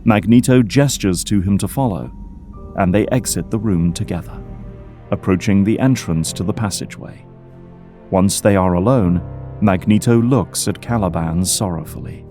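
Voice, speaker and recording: Low, mellow male drama narrator. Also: normal speed. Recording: music under the voice.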